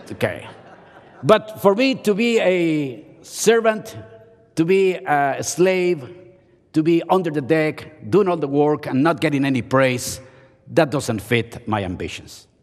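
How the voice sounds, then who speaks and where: Older man, preaching slowly. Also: fairly high pitch, expressive. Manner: playful, self-mocking, dramatic pauses. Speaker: seminary professor and chapel speaker. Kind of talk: humorous chapel sermon. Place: large seminary chapel auditorium. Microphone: podium microphone. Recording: long hall reverb.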